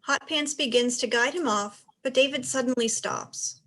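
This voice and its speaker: High-pitched woman narrating stage directions. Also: moderate pace, pushed and bright. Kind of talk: scripted narration.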